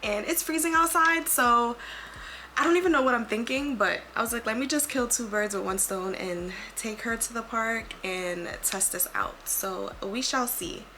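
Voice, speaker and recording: A young woman with a raspy voice, chatting casually to her camera while vlogging, thinking aloud and poking fun at herself. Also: on the high side, moderate pace, bright, forceful tone. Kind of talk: casual vlog talk to camera. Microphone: handheld pocket camera mic. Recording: noisy handheld camera audio.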